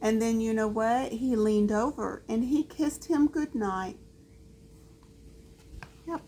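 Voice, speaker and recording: A woman reading a picture book aloud to a small child, adding drawn-out, expressive asides. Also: on the high side, a little hiss or hum. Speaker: storyteller.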